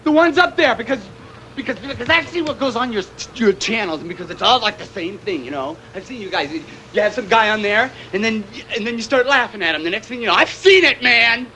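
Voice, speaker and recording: A man's voice: sits high, animated, brisk, sharp tone. An actor playing an unhinged, accusing male terrorist in a TV drama, rambling in frantic, disjointed repetitions, on noisy news-footage sound. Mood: angry, rambling.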